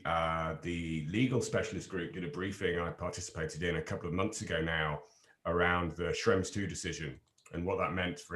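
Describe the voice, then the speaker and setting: A man leading an online panel discussion, explaining things in a measured way with the odd filler. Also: deep voice, fairly bright tone. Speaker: panel lead presenter.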